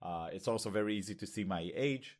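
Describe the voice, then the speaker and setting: A man with an Israeli accent, a Hebrew native speaker, talking candidly on a podcast, thinking aloud with many pauses and fillers. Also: fairly low pitch. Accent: Israeli, lighter than most. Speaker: podcast co-host. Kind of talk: casual conversation.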